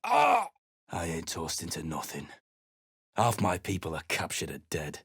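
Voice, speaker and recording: An actor playing a surly, battle-worn mercenary adventurer in a video game, speaking in a gruff, blunt, dismissive way. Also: male voice, low voice, wildly swooping pitch, a little slow, fairly bright tone, no room sound, clean studio sound, exaggerated voice.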